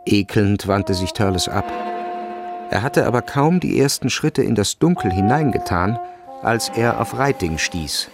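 A man's voice: fairly low pitch, expressive, unhurried. A man reading scripted literary narration for a radio drama. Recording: music behind the voice.

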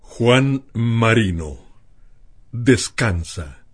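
A man's voice: mid-range voice, lively intonation, very slow, crowd noise. A man, a radio announcer reading a scripted programme sign-off. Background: prominent music.

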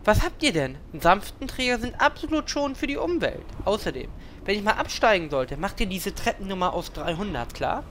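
A boastful, scheming little gnome man, played by an actor in a comedic fantasy audio drama. The voice is forceful and bright, pitched fairly high, and full of pompous self-importance. There is faint noise behind it. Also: big pitch swings, fairly quick. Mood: pompous, demanding. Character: lecherous, boastful little male gnome escape artist. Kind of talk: comedic fantasy radio-play acting.

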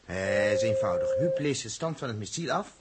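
An actor playing a hot-headed, grumbling, cocky spaceship technician with a blunt manner in a 1960s Dutch radio drama. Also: male voice, fairly low pitch, expressive, normal speed, lightly acted. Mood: brisk, grudging. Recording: noisy old radio drama recording.

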